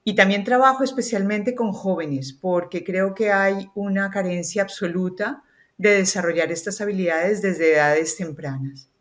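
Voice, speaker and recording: A woman with a Colombian accent, a communication coach, talking through her work in a relaxed podcast interview with the odd hesitant filler. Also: mid-range voice, normal speed. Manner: articulate, explanatory, with hesitant fillers.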